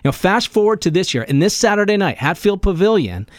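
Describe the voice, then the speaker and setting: Male radio host, slightly high voice, upbeat announcer delivery, morning show interview, dry close studio mic. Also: very animated, brisk, no room sound. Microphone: close studio microphone.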